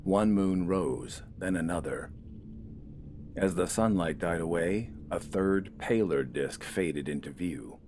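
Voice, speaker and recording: Very deep-voiced man narrating a story. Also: animated, slow pace, a little mellow, slight background noise. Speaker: audiobook narrator. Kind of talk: scripted dramatic story narration.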